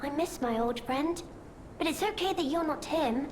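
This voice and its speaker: A woman playing a gentle, childlike female automaton in a video game, innocent and wistful, speaking with earnest, tender simplicity. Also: high voice, normal speed, background noise, close to an everyday voice. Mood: tender, hopeful.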